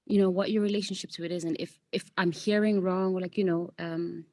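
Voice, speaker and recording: A woman's voice: fairly low pitch, steady tone, brisk, darkish tone, no room sound. A woman from the audience asking a question in a hesitant way, groping for words. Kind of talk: casual question.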